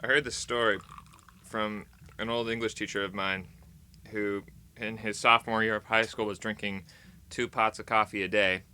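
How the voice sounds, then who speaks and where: A man's voice: fairly low pitch, level tone, moderate pace, bright, forceful tone, clean tone. An American man vlogging in casual, rambling chat to camera, playful and tongue-in-cheek with dramatic emphasis.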